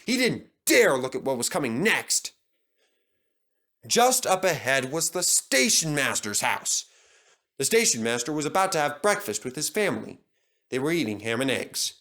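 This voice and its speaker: A man's voice: a little high, sing-song, normal speed, bright, forceful tone. A man narrating a scripted children's story as the storyteller.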